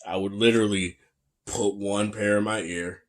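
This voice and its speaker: A young man with a deep, bassy voice giving a casual, chatty tech review, full of fillers and restarts. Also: fairly even intonation, normal speed. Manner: laid-back, chatty, many fillers and restarts.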